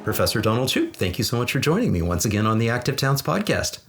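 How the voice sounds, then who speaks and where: A man's voice: mid-range voice, sing-song, fast, over loud music. A man from Los Angeles hosting a podcast, upbeat and friendly, with some fillers. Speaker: podcast host. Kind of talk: interview hosting.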